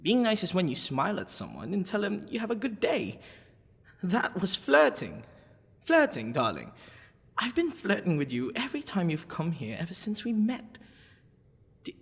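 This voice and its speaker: Half-dragon male librarian, played by a man, earnest and besotted boyfriend-audio lead. Halting delivery, swooping pitch, long reverb. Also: wildly swooping pitch, exaggerated voice. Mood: exasperated, insistent. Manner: halting, stammering, breathy sighs and nervous laughs. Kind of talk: ASMR boyfriend audio roleplay.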